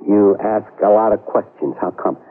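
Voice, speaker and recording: An actor playing a gruff working-class security guard who talks bluntly and sarcastically in slang. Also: male voice, fairly low pitch, normal speed, natural voice. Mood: gruff, sarcastic. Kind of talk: old-time radio drama acting. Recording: tinny, lo-fi radio broadcast.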